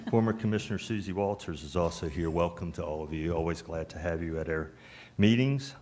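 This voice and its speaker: A man chairing a town board meeting, speaking in a measured, procedural way. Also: low voice, darkish tone, slight background noise.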